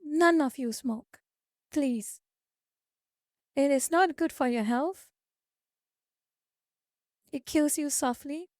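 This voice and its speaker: A woman's voice: high voice, slow, long pauses, darkish tone, dry recording, studio quality. A woman streaming live, chatting casually with viewers, reading their comments and lecturing them. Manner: chatty, lecturing, reads chat aloud. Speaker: VTuber streamer.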